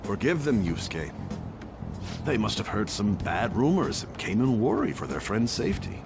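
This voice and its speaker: Gravelly, genial old master. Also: male voice, fairly low pitch, big pitch swings, moderate pace. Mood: placating, mild. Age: older. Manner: mild, courteous, placating. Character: genial, self-deprecating old male master painter. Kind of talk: dramatic English video game dub acting. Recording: music behind the voice.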